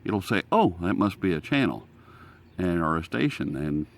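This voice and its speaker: A man's voice: deep voice, big pitch swings, normal speed, gravelly. An American man hosting a casual hobby radio demonstration outdoors, talking in a folksy, rambling way. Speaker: host. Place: outdoors in sunlight.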